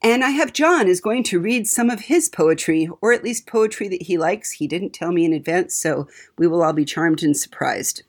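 Female podcast host, casual and conversational, lower register, husky edge. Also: expressive, normal speed, few pauses.

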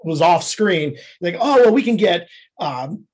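A man with a fairly high, rough-edged voice speaks as a guest in a casual podcast interview. He talks conversationally about his craft as a screenwriter and director. He is prone to chuckling as he talks. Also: sing-song, measured pace. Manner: chuckles often while talking.

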